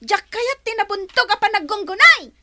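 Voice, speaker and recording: An actress playing a bossy, scornful young cousin in a radio drama, haughty and scolding. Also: female voice, very high-pitched, lively intonation, normal speed, bright, forceful tone, exaggerated voice.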